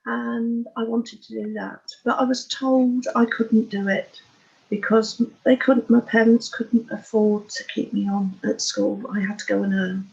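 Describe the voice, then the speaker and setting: A woman in her sixties with an English accent, telling her life story as an interview guest in a frank, halting, matter-of-fact way. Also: fairly high pitch, steady tone, gravelly, darkish tone, husky, muffled recording. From Oxfordshire, England. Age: 60s. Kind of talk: candid personal life story in conversation.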